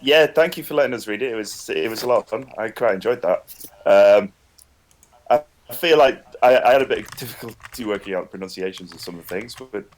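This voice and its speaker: A man giving halting feedback on a script with many fillers. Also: lowish voice. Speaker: table read participant.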